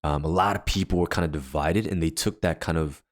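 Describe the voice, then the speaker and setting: A young man with a deep voice, a podcast co-host telling a story in casual chat with many fillers and restarts. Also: a little fast. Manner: rambling, filler-heavy storytelling.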